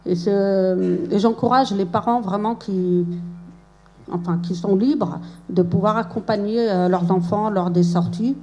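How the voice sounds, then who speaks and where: A woman's voice: mid-range voice, unhurried, warm tone, highs cut off. A woman speaking as a parent at a school event, hesitant and sincere, with many fillers.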